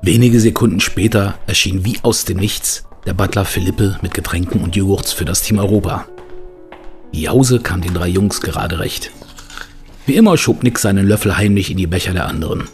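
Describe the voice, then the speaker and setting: A man acting as the storyteller of a boys' adventure audio play, reading scripted narration in a measured way. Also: low voice, darkish tone, faint music behind. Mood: measured storytelling.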